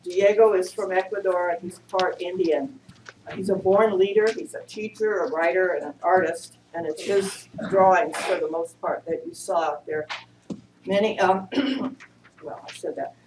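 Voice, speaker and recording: Female presenter, slightly low, informal church introduction; distant, with faint noise. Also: normal speed, reverberant. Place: church room, recorded from a distance.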